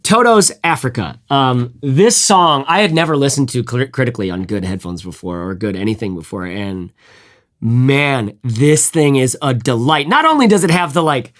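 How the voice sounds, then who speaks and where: A man's voice: expressive, normal speed. A man reviewing headphones in a loose, rambling chat. He swears freely, restarts his sentences and hums out bits of music to make his point. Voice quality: fairly high voice. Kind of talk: casual gear review chat.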